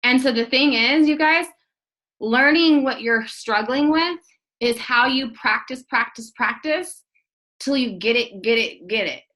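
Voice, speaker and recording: Bright, chatty woman giving a casual training talk. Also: on the high side, expressive, a little slow. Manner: chatty, filler-heavy, rambling; earnest and encouraging. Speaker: team trainer.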